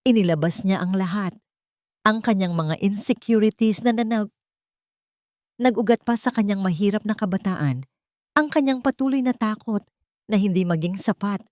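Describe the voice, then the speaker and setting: A woman with a Filipino accent narrating a scripted drama story in measured, emphatic phrases. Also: mid-range voice, darkish tone, no room sound, clean studio sound.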